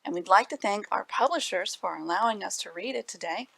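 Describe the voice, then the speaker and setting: A woman reading aloud to children as a library storyteller, animated and dramatic with deliberate phrasing. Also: mid-range voice, vocal fry, no echo.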